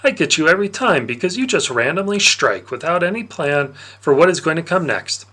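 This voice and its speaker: A man's voice: a little high, very animated, a little fast, sharp tone, voice pushed up, heavily acted. A man voicing a cocky young village boy who scolds his friend playfully in a storybook reading. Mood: teasing.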